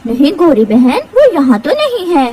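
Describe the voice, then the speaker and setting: A woman voicing a calm, polite male pigeon neighbor in a cartoon, speaking lower than her other parts. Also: normal speed, heavy background noise. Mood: concerned.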